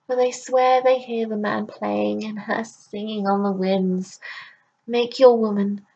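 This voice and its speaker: Woman doing a sly old crone, shrill hollering, high pitched, husky edge, muffled sound. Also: fairly bright tone, exaggerated voice. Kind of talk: storybook reading. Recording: muffled recording.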